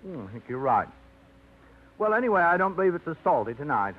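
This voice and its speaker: A man's voice: a little high, big pitch swings, brisk, slight background noise. An actor playing a fussy, set-in-his-ways middle-aged bachelor who rambles with old-fashioned phrases, in a 1950s radio drama. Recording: muffled old radio broadcast.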